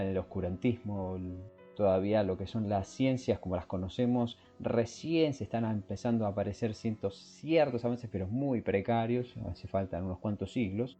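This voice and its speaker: A man hosting a podcast, explaining things informally and often pausing to search for his words. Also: fairly low pitch, mellow, highs cut off. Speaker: podcast host. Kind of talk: scripted intro and informal explanatory talk.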